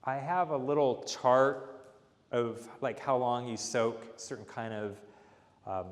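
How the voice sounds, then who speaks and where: A man gives relaxed, filler-heavy pointers at a community health event. His voice sits in an ordinary middle range. The sound carries a long reverb from the hall. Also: medium pitch, slow pace. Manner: casual, hedging, filler-heavy. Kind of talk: informal demonstration talk. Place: church hall.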